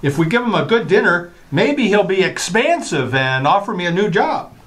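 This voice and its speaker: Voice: medium pitch, very animated, normal speed, fairly bright tone. A performer playing a harried, sarcastic husband and father, dry and impatient, in an old-time radio comedy.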